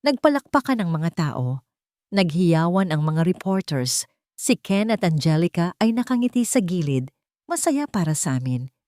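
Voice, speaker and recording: Low-voiced woman narrating a love story. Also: very animated, normal speed, mellow, no room sound, studio quality. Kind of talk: scripted first-person romance story narration.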